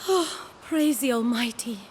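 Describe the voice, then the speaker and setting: A woman's voice: on the high side, lively intonation, a little slow, a little mellow, a little hiss or hum. A woman playing an earnest, devout and brave young woman in a video game, speaking politely and formally with some hesitancy. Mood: anxious.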